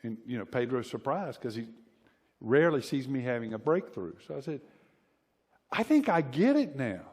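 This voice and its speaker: A man's voice: mid-range voice, sing-song, normal speed, darkish tone, slightly rough. Southern man preaching in a reverberant hall. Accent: Southern American. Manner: folksy, informal, repeats phrases for emphasis. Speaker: pastor preaching. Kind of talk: conversational sermon with personal anecdotes. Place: church hall, preaching to a congregation.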